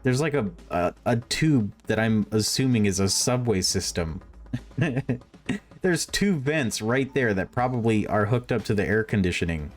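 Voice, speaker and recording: Low-voiced man reading over soft music. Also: animated, a little slow. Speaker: video game streamer. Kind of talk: let's play, reading visual novel aloud with casual commentary.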